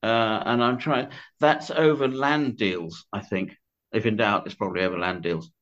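A man's voice: fairly low pitch, steady tone, a bit bright. An older man speaking as an interview guest about a book, conversational and tentative, often correcting himself. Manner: tentative, self-correcting, halting. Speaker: podcast guest. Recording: dull, band-limited audio.

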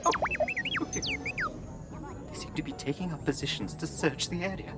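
A prissy, fretful robot servant. His speech is formal and swoops dramatically up and down over loud music, in radio drama style. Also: on the high side, wildly swooping pitch, moderate pace, gravelly, heavily acted. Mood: anxious. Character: fussy, anxious, prim male protocol droid. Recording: music behind the voice.

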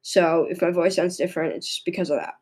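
A young woman with a cold and a hoarse voice, hosting a podcast and reading her notes in a halting way, often stumbling and restarting. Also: on the low side, fairly even intonation, a little mellow. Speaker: podcast host. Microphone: microphone into a laptop.

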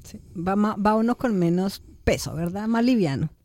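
A woman with a medium-pitched voice hosts a conversational interview. Her speech is informal and chatty, sprinkled with diminutives, and it is recorded close and dry. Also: expressive, normal speed, dark tone, no room sound. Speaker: interview programme host. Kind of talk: conversational radio-style interviewing.

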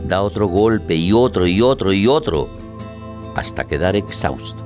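Deep guy narrator, dramatic radio play, measured short lines, music under him. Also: animated. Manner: measured, short deliberate phrases.

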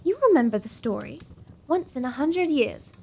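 An actress playing an excitable, melodramatic young woman in a gothic comedy audio drama. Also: high-pitched, moderate pace, creaky, a little hiss or hum. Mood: eerie, melodramatic.

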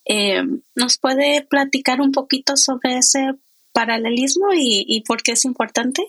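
A woman's voice: high voice, unhurried, a bit bright, no echo. A woman hosting a podcast interview as a coach, speaking in a friendly way with frequent fillers.

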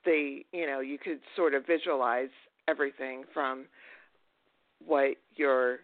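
A woman's voice: rumbling low, steady tone, measured pace, no room sound. A woman hosting a podcast interview, asking friendly questions with hesitant ums. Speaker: podcast host. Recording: dry, tinny phone-like line.

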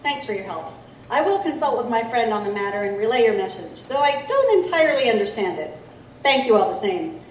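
A woman's voice: fairly high pitch, very animated, fairly quick, far from the mic, slight background noise. A woman playing an eager, plucky reporter from 1889 in an audio drama. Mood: eager, grateful. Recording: echoey, slightly off-mic.

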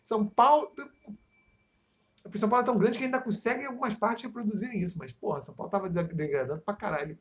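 A man's voice: high-pitched, fast. A man in casual podcast chat, rambling, swearing freely, trailing off and restarting. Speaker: podcast co-host.

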